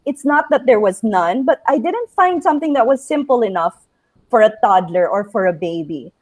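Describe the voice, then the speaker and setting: A woman's voice: on the high side, expressive, normal speed. A woman in her thirties with a Filipino accent, an author talking casually about her book, with frequent fillers and restarts. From Philippines. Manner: chatty, many fillers and restarts. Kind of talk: casual interview chat.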